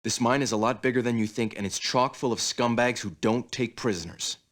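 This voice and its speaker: An actor playing a gruff, sardonic military commander giving mission briefings in a video game cutscene. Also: male voice, mid-range voice, fairly even intonation, a little fast, lightly acted. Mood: wry, stern. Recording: music behind the voice. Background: music.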